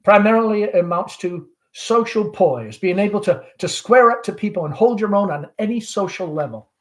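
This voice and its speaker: A man's voice: sits high, animated, normal speed, pitched above the performer's own voice. Man reading a hearty, ambitious young go-getter. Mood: persuasive.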